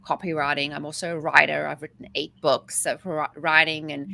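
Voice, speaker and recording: Chatty South African woman, lowish voice. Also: moderate pace, gravelly. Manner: chatty, energetic storyteller. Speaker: guest, mindset coach. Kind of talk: casual interview talk.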